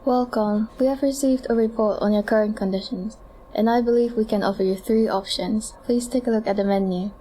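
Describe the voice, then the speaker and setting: Soft-toned woman as a clinic doctor, noisy recording. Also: fairly high pitch, steady tone, brisk, lightly acted. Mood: polite, explanatory. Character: clinic doctor pitching futuristic treatment options to a patient. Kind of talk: amateur audio drama, scripted explaining.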